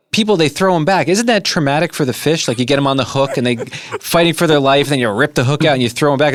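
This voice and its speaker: A man's voice: fairly high pitch, dry recording, studio quality. A man hosting a radio show, chatting casually with callers in a playful, joking way. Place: radio studio. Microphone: close studio microphone.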